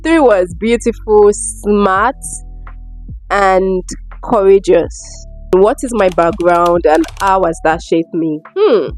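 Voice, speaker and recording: A woman's voice: medium pitch, slow pace, a little mellow, faint music behind. A young woman with a Nigerian accent chatting casually to camera as a vlogger, informal and given to emphatic repetition. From Lagos, Nigeria. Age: young adult. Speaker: vlogger answering viewer questions.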